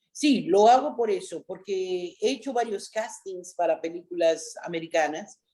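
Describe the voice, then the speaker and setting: Mexican woman telling lively stories. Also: mid-range voice. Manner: animated storyteller, frequent "¿no?" tags. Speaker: actress, interview guest. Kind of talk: casual interview chat.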